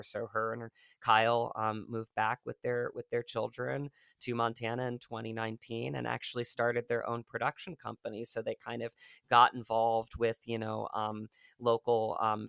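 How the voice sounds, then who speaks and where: A man's voice: mid-range voice, gravelly, a bit bright, dry recording, studio quality. A man being interviewed about his acting work, talking earnestly and rambling with lots of fillers and restarts. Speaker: actor guest. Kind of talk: casual interview conversation.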